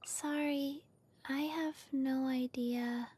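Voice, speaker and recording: An actress playing a gentle, innocent moon maiden in a video game, soft and whispery, dreamy and hesitant. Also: female voice, high voice, fairly even intonation, slow. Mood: gentle, wistful. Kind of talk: scripted game character voice lines.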